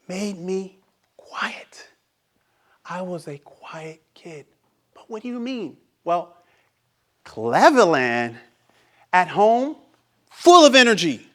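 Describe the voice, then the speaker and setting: A man from Haiti who grew up speaking Haitian Creole delivers a motivational club speech. His voice sits high and leaps around in pitch, jumping from near shouts to soft, hushed lines. He asks questions to the room and then answers them himself. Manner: dramatic, swings between loud and hushed, rhetorical questions. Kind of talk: prepared inspirational speech.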